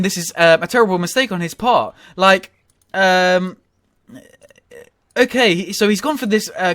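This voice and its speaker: Guy with a stuffy cold nose doing chill game commentary, lots of ums, kinda high voice, faint music behind. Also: a bit bright. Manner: rambling, with frequent ums and self-corrections. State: nasal from a cold. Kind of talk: casual strategy game commentary.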